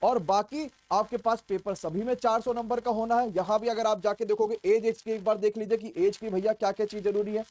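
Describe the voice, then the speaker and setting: High-pitched man teaching. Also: steady tone, fairly quick, few pauses, a little mellow. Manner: explanatory, repeats key points for emphasis. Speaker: coaching class teacher. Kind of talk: informal exam vacancy briefing.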